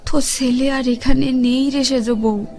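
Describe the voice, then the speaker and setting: A woman's voice: high voice, fairly even intonation, moderate pace, warm tone. A woman playing a middle-aged village neighbour bringing news, with a rural Bengali dialect and emphatic, colloquial delivery. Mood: grave reporting. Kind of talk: audio drama acting.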